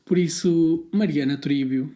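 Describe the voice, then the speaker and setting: A man from Portugal, hosting a casual podcast conversation in a relaxed, rambling way with lots of filler words. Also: fairly high pitch, normal speed. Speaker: podcast host.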